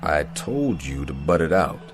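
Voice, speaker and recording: A low, gruff voice that swings widely in pitch: a proud, washed-up prizefighter in a radio drama. He talks in blunt slang, always ready for a fight, over loud dramatic music. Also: very animated, measured pace, exaggerated voice. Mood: gruff, defiant. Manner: gruff, slangy, confrontational talk. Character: aging has-been boxer, proud, bitter, hot-tempered.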